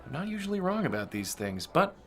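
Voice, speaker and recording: An actor playing a crude, domineering corporate boss in an audio drama, mocking and menacing in manner. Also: male voice, a little high, sing-song, a little fast.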